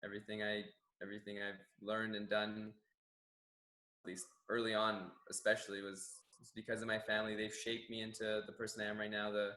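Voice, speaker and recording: A man's voice: fairly low pitch, bright, forceful tone, reverberant. A young Canadian man whose first language is Farsi, a gym general manager answering interview questions in an earnest, reflective way with many restarts. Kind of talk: staff profile interview answers.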